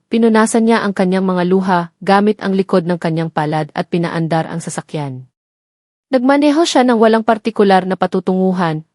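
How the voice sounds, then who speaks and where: A woman's voice: mid-range voice, a little mellow, dry recording. Woman narrating drama, very dry sound. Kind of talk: scripted dramatic story narration.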